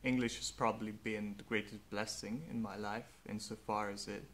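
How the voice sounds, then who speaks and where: A young man, a native Hungarian speaker, speaking slowly and earnestly in a reflective personal monologue with faint background noise. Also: fairly low pitch, level tone, fairly bright tone. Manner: slow, thoughtful, earnest. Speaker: vlogger.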